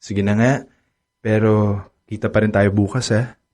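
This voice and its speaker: An actor playing a cheating young college boyfriend who turns cruel and taunting, in a radio drama. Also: male voice, on the low side, level tone, moderate pace, darkish tone, natural voice. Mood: coaxing. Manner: taunting, mocking laughter.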